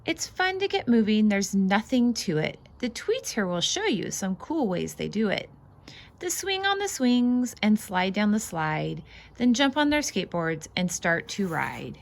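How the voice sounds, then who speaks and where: A woman reading a children's picture book aloud as host, expressive and sing-song, stressing the rhymes and adding casual asides. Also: medium pitch, measured pace, creaky.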